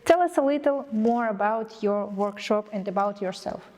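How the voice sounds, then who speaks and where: A woman's voice: mid-range voice, normal speed, darkish tone. Polite woman interviewer with reverb. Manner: polite, hesitant, with fillers. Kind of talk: interview questions in English. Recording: reverberant room.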